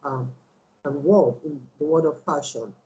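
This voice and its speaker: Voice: medium pitch, a little slow, warm tone, lo-fi sound, a little hiss or hum. An interview host asking long questions, stammering and adding "all right?" as a check.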